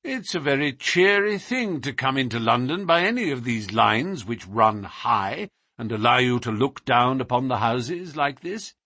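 Storybook gentleman sleuth, voiced by a man. Husky, precise, philosophical, self-assured, highly melodic delivery. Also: very animated, unhurried, hardly any pauses, dry recording, clean studio sound, exaggerated voice. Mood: animated. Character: brilliant, musing, self-assured gentleman detective.

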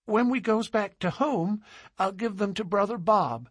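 A small girl of five, earnest and innocent, speaking in a hushed, serious lilt with a husky edge, as a man reading a story puts her on. She sounds far higher than an adult, in a dry close recording. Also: measured pace, no echo. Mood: delighted. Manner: soft, hushed, grave childish lilt.

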